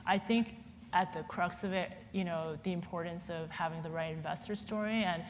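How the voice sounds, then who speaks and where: A woman from Hong Kong talks on a conference panel in a chatty way, with many "you know"s and restarts. Her voice sits fairly low and stays flat. The sound carries a long hall reverb over a faint hiss. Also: moderate pace.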